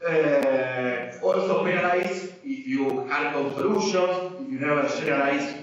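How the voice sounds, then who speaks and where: A man's voice: on the high side, a little slow. A man giving a technical presentation to a small audience, hesitant and full of fillers and restarts, apologetic about his English. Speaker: conference speaker. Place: small lecture room. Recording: echoey room, distant mic, dull sound.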